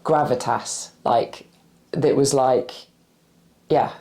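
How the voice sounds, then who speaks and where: A woman's voice: a bass voice, takes it slowly, mellow. A tired woman in her forties from West London, with a West London accent and a slight Irish-tinged R. She answers interview questions thoughtfully, with many fillers and restarts, and her voice sounds a little cracky. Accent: West London with a slight Irish-influenced R. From West London, England. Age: 40s. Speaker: interviewee, movement practitioner.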